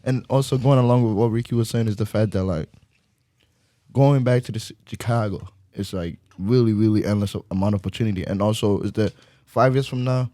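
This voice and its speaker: Quick-talking young man, halting speech. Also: fairly low pitch, dark tone, no echo. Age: 20s. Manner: quick, halting, repeats words. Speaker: podcast co-host. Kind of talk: casual podcast chat.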